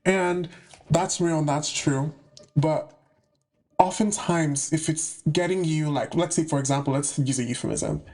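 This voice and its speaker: A young man from the UK talks casually to the camera with a higher-than-usual voice. His speech is chatty and full of slang, with playful asides as he shares spiritual advice. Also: measured pace, darkish tone. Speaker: spiritual content creator.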